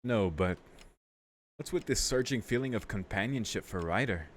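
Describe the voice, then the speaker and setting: A man's voice: lowish voice, animated, normal speed. A man casually reading and reacting to a visual novel as a let's play streamer, emotive and chatty. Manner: emotive, reactive, laughing asides. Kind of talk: casual game commentary and reading aloud.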